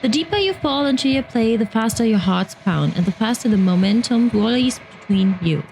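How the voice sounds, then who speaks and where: A native German woman, a game streamer, reading game text aloud in a measured way. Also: fairly high pitch, lively intonation, gravelly, a little mellow, slight background noise, rough recording.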